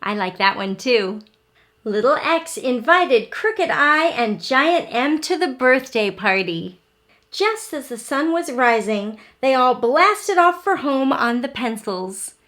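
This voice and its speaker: A woman hosting an interactive read-aloud for young children, speaking in simple, expressive teacher talk and often pausing to ask the listener questions. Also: high voice.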